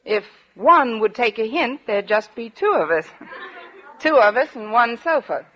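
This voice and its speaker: An actress playing a wry, lovelorn high school English teacher with dry delivery in a 1940s radio comedy. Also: female voice, lively intonation, unhurried, a bit bright, some chatter behind. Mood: dry, hinting. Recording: dull 1940s radio recording, studio audience.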